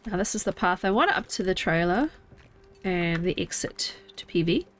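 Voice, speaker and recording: A woman's voice: on the low side, lively intonation, moderate pace, a little hiss or hum. A young woman streamer with a congested, stuffy nose, chatting casually and thinking aloud as she plays a game from home. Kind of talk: casual live gameplay chat.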